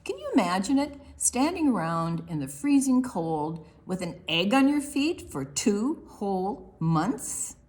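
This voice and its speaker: A woman reading a picture book aloud for preschoolers, expressively and deliberately, with quiet asides to the young listeners. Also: medium pitch, sing-song. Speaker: children's librarian hosting online storytime.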